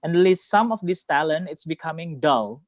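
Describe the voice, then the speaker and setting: A man with an Indonesian accent talking casually on a video call, halting and often repeating his words. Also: a little high, expressive, dry recording, studio quality. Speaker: host. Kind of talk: casual reflective conversation.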